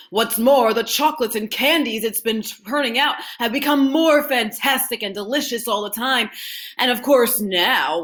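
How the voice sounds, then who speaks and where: A woman reading a children's story aloud as an expressive, dramatic narrator. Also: mid-range voice. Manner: dramatic, animated delivery. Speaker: audiobook reader.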